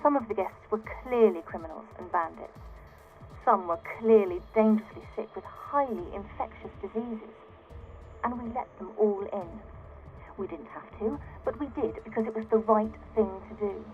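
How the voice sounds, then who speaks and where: An actress playing an indignant, self-righteous founder of a floating city in a video game audio log, exasperated, defensive and emphatic, through a radio-like filter. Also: female voice, mid-range voice, moderate pace, narrow, tinny audio, faint music behind. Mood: bitter.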